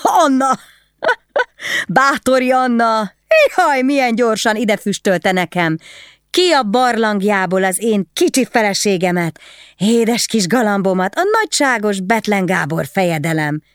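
A woman's voice: fairly low pitch, animated, creaky, dry recording, clean studio sound. A woman putting on a drunk, sneering nobleman. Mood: mocking. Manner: slurred, bellowing, sneering. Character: drunk mocking nobleman, middle-aged man.

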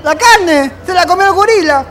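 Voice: very high-pitched, lively intonation, moderate pace, a bit bright, some chatter behind, a little hiss or hum. A performer playing a frightened, pleading young man on the run, with a Chilean accent, in a radio drama. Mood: distraught.

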